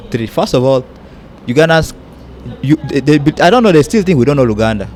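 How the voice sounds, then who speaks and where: A man's voice: mid-range voice, lively intonation, a little fast, darkish tone. Blunt, emphatic young man from South Sudan, guest in a candid interview. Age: young adult. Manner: blunt, emphatic, mimics people in quotes.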